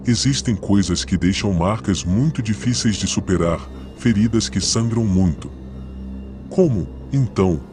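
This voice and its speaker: A man reading a scripted, reflective narration. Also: deep voice.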